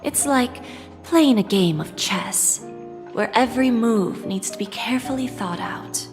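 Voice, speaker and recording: A woman's voice: on the high side, expressive. Composed corporate envoy woman, reverberant. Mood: cool, calculating. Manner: measured, formal, self-assured. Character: composed, shrewd senior corporate envoy woman, calculating mentor. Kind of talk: video game voice acting. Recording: reverberant hall, music behind.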